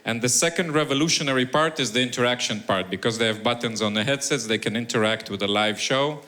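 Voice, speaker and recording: A man from Israel speaking as a guest on stage. His mid-pitched voice is bright and forceful as he explains technology. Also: fast.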